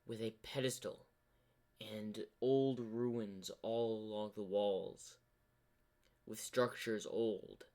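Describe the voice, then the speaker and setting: A man tells a dramatic story in an improvised way. His delivery is slow and halting, as he pieces each scene together while he goes. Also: on the low side, darkish tone.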